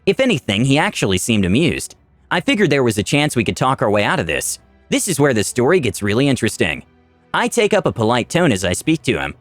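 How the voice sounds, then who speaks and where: A man giving a dramatic, expressive first-person scripted narration of a fantasy tale. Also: medium pitch, fast, dry recording, soft background music. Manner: expressive storytelling with polite quoted dialogue. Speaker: story narrator.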